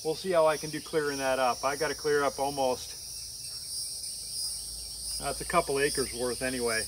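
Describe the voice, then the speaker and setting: Middle-aged farmer rambling outdoors, crickets behind. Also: male voice, medium pitch, steady tone, normal speed. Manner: rambling, thinking aloud with frequent uhs. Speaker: farmer vlogger. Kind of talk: casual talk to camera. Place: outdoors in a sunny pasture. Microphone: camera on a tripod a few meters away. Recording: outdoor camera audio with insect noise. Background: insects and crickets.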